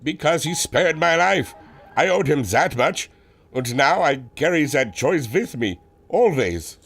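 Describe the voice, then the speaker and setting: A man's voice: a little high, animated, a little slow, a bit bright, husky, heavily acted. A man playing an earnest, remorseful German airship adventurer with a German accent, solemn and melodramatic. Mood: regretful. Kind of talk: old-time radio adventure serial acting.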